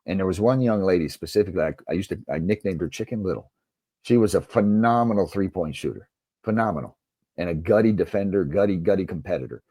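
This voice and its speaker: Deep-voiced man, dark and slightly husky. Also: expressive, fast, dry recording. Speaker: interview guest, basketball coach and author. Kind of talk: conversational interview answers.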